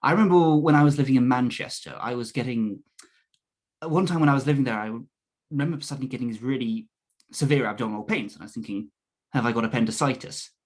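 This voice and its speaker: A young man from southern England talks at a middle pitch. He is thoughtful and measured, pausing to rephrase as he weighs his points in a casual discussion. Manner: measured, self-correcting, thoughtful. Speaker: podcast co-host.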